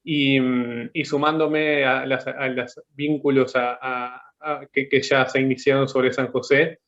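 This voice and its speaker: A man speaks on a panel discussion, slowly working his thoughts into words. His pitch stays flat and steady. The video call audio is dull and lo-fi. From Uruguay. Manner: thoughtful, halting, searching for words. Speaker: artist guest.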